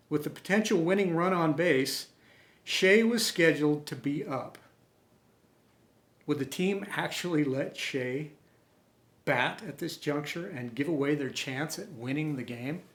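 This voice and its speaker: A man reading a story aloud as host, with expressive, dramatic delivery. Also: fairly high pitch. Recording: roomy, echoey home recording.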